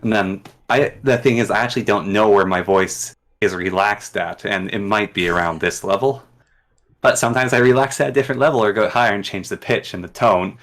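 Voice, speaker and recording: A man hosting a casual conversation, talking in a relaxed, reflective way. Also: on the low side, brisk. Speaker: podcast host.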